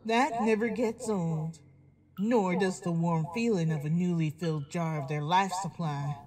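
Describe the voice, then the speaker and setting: A woman's voice: lowish voice, measured pace, darkish tone, a little hiss or hum, lightly acted. A woman voicing a villainous female farm pony in a horror audio drama, mocking and menacing, with folksy, falsely polite talk. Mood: cold satisfaction. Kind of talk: horror fan fiction audio drama acting.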